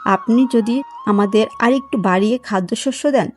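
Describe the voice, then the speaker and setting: A woman's voice: on the high side, normal speed, soft background music, close to an everyday voice. A woman voicing a clever, bold young daughter-in-law in a cartoon folk tale, insistent and practical. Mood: firm.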